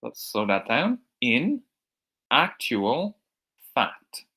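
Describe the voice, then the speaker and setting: A man from Ireland with an American-sounding accent teaching English vocabulary, repeating each word slowly and clearly for learners. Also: mid-range voice, huge pitch swings, bright, forceful tone. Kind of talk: recorded vocabulary lesson.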